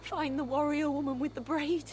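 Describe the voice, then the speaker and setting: An actress playing a sleepless, haunted young bride in a video game, fraught and pleading. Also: female voice, high voice, steady tone, moderate pace, darkish tone, slight background noise, lightly acted. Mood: troubled. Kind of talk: video game character acting.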